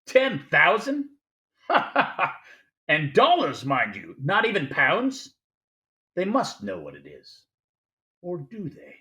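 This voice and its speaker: A man's voice: sits high, wildly swooping pitch, slow pace, pauses often, sharp tone, exaggerated voice. A man playing a genial, shrewd fat crime boss with pompous, courtly phrasing in a 1940s-style radio play. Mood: amused, sly.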